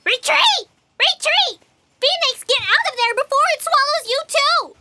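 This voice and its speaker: A woman playing a frantic, high-strung female writer in a sci-fi comedy audio drama, whiny and agitated. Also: lively intonation, a little slow, sharp tone, no room sound, exaggerated voice. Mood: panicked.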